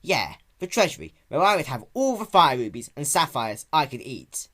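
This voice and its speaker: A man's voice: mid-range voice, very animated, normal speed, pushed and bright. A man voicing a stubborn, boastful young male dragon in a dramatic reading of a cartoon fan story. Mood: smug, dreamy.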